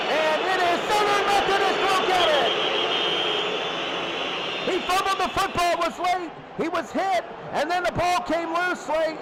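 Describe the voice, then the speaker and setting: A man calling football play by play in short, clipped, excitable phrases. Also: extremely high pitch. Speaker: play-by-play sports commentator. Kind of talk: live American football commentary. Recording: noisy crowd bed behind commentary.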